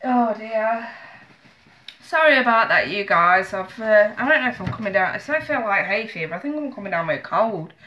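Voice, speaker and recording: A woman's voice: medium pitch, expressive, normal speed, lo-fi sound, a little hiss or hum. A woman in her thirties with a stuffy, bunged-up nose, chatting emotionally and apologetically to viewers over a live stream from her small home office. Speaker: tarot reader streaming live. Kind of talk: casual live-stream chat. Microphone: webcam at desk.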